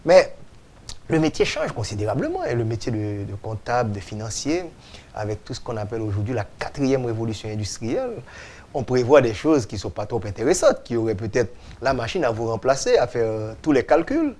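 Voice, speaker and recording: A man's voice: mid-range voice, big pitch swings, brisk, a little mellow. A man hosting an economics show, formal and articulate in his questions. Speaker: TV host. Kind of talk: broadcast interview.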